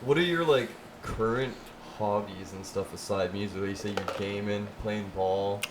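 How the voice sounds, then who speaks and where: A man's voice: fairly low pitch, normal speed, noisy background. A man in his twenties hosting a podcast, asking questions in an easygoing, encouraging, chatty way. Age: 20s. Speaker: podcast host. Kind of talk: casual interview.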